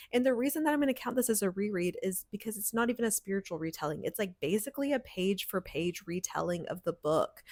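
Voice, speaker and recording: A woman's voice: mid-range voice, no echo, clean studio sound. A woman talking casually and enthusiastically to viewers like a book vlogger, with emphatic, slangy, informal phrasing. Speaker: book vlogger, channel and podcast host. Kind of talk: casual scripted-free chat to viewers, book review.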